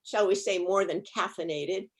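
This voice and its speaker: A woman recalling her career in conversation on a video call, articulate and measured, with a wryly understated way of putting things. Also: mid-range voice. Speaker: panel guest, actress recalling her television career. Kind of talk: conversational reminiscing on a panel.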